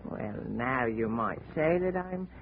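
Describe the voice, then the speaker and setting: A man's voice: mid-range voice, lively intonation, slow, vocal fry, a little hiss or hum. An actor playing a timid, fawning male company agent who talks in a fussy, ingratiating way, in 1940s radio acting. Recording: tinny old radio broadcast.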